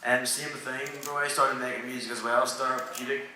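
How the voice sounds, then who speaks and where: A young man with a Northern Irish accent, a rapper introducing himself on a panel in a loud, laid-back, slangy way. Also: mid-range voice, little pitch movement, brisk, slight background noise. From Northern Ireland. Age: young adult. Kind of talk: casual panel talk. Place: large hall at a conference. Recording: echoey hall, mic far off.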